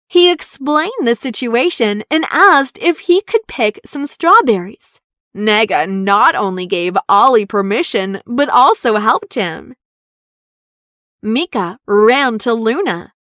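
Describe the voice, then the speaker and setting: Slow, high-pitched woman reading a children's story. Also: no room sound, studio quality. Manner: expressive, deliberate storytelling. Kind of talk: children's story read-aloud narration.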